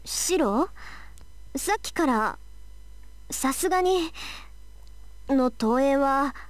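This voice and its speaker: A woman playing a bossy, sharp-tongued young female mage in an anime visual novel, brisk and assertive. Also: sits high, animated, no room sound.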